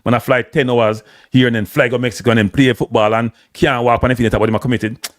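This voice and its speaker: A man's voice: medium pitch, little pitch movement, quick pace, no room sound, studio quality. A man with a Jamaican accent delivering a blunt, heated football rant, slipping into patois. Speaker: football commentator. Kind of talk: live opinion rant.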